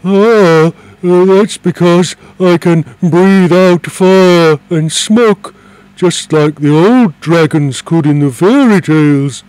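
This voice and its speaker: A man reading aloud and voicing a gentle, lonely male dragon who speaks in a plaintive, wheedling way. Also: high voice, takes it slowly, clean tone, no room sound, voice pushed up, rough recording. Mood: proud.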